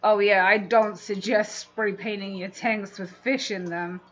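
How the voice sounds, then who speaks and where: A woman's voice: medium pitch, fairly even intonation, a little slow. A woman from London with a London English accent, chatting casually and in a rambling way as she hosts a livestream and answers viewers. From London, England. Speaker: livestream host, fishkeeping hobbyist. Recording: dull, muffled livestream audio.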